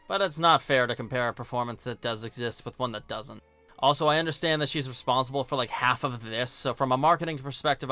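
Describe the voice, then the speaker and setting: A man's voice: medium pitch, a bit bright. A man giving scripted video-essay commentary in a sarcastic, informal, joking manner. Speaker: video reviewer and host.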